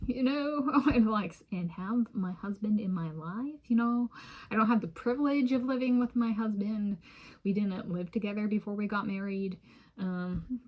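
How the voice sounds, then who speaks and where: An American woman in her thirties talking casually in a personal vlog, halting and rambling as she circles back and repeats herself. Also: mid-range voice, a little mellow. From United States. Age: 30s. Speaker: vlogger.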